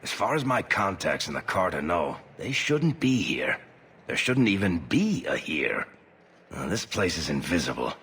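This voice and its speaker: Game companion: wisecracking dwarf rogue, lowish pitch, very animated intonation, reverberant recording. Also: male voice, normal speed, husky, heavily acted. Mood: dry, sardonic. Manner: sardonic, wisecracking. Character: wry, roguish male dwarf adventurer and storyteller. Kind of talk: conversational video game acting.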